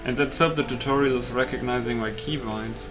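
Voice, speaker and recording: A man's voice: medium pitch, steady tone, a little fast. A man giving casual, informal and hesitant let's play commentary on his own gameplay. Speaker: gamer commentating on own playthrough. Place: voice-over on gameplay. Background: loud game music.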